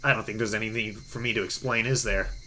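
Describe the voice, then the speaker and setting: A man voicing a mysterious anonymous phone caller in an audiobook, calm, measured and politely threatening. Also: on the low side, slight background noise, pitched below the performer's own voice, poor audio. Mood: calm, dismissive. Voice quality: hoarse, metallic. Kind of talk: audiobook character voice.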